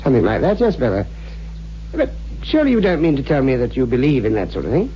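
An actor playing a kindly, sceptical older scholar in a 1960s radio drama, courteous and avuncular. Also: male voice, mid-range voice, big pitch swings, quick pace, lightly acted. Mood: sceptical, puzzled. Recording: dull old radio recording, faint hum.

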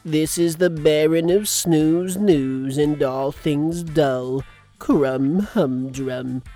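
A very slow, deadpan radio DJ. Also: male voice, on the high side, soft background music. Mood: flat, deadpan. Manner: deadpan, slow, painstakingly literal. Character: dull, pedantic adult male smooth jazz radio DJ. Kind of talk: children's comedy audio drama.

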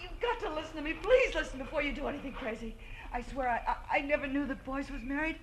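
A woman's voice: high voice, expressive, fast. A woman playing a frightened, pleading young society woman in a 1940s radio crime drama, earnest and breathless. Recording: noisy vintage radio recording.

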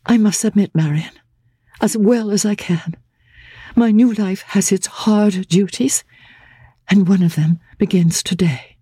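A woman voicing a young, wretched but resolute gentlewoman in a storybook, earnest and impassioned. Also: mid-range voice, a little slow, husky, no echo, pitched above the performer's own voice. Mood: sorrowful, resigned. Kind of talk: dramatic audiobook character reading.

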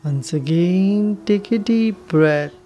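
Woman doing guided meditation, soft dark tone, unhurried and soothing, faint ambient noise behind her. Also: lowish voice, lo-fi sound. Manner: soothing, unhurried, with long pauses.

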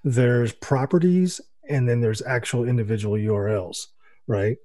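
An American man co-hosting a radio show on a boom mic, explaining things quickly and restarting often. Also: warm tone, no room sound, studio quality. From United States. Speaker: podcast co-host. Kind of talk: casual radio show chat.

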